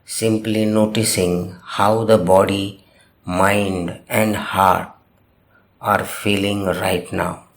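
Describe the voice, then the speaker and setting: A man narrating a guided meditation, giving gentle instructions in short, measured phrases with long pauses between them. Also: fairly low pitch, level tone. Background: music.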